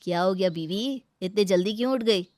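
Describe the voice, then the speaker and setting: Mild young husband and son, voiced by a woman, casual, hesitant, apologetic; medium pitch, dry studio feel, comic domestic story. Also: brisk, darkish tone, dry recording, close to an everyday voice. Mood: drowsy concern. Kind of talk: dramatized comic family story.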